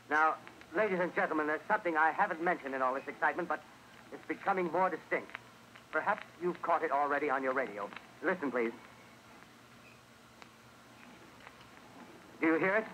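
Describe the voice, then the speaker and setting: An actor playing an excited, halting American radio news reporter, a flustered eyewitness in 1930s radio drama style. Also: male voice, a little high, lightly acted. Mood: intrigued, urgent. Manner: halting, breathless on-the-spot commentary. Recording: noisy 1930s radio broadcast off cassette.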